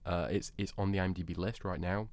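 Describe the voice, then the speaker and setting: A low-voiced man argues as a panelist in a casual debate. He is emphatic and opinionated, repeating words to drive a point home. The recording is dry, without room sound. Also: fairly even intonation, quick pace, darkish tone, dry recording.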